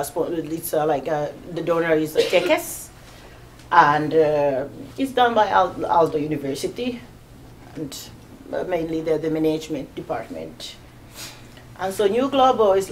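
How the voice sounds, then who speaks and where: A woman in her thirties, originally from Tanzania, speaks in a lowish voice as she presents to a small group. She talks informally and hesitantly, with plenty of fillers and restarts. A faint hum of room ambience sits behind her. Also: poor audio. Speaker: guest speaker.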